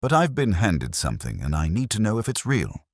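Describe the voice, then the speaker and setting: A man voicing an insistent, brisk female newspaper reporter with a direct, businesslike manner in an audiobook mystery. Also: fairly low pitch, huge pitch swings, dry recording, studio quality, pitched above the performer's own voice, exaggerated voice.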